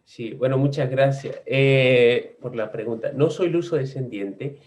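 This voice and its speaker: A man from Venezuela speaking as a researcher on a video-call panel, measured and academic, pausing often on "eh". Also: medium pitch, a little mellow. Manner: measured, with frequent "eh" pauses. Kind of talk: academic discussion.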